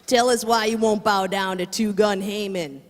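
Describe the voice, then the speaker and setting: A man's voice: high-pitched, steady tone, moderate pace, soft background music, natural voice. A man playing a nosy, needling gangland sidekick in a comic old-time radio play. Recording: echoey hall with background noise.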